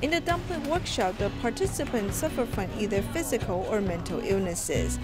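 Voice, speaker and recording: A woman narrating a news feature in an expressive voice-over. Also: mid-range voice, normal speed, over loud music.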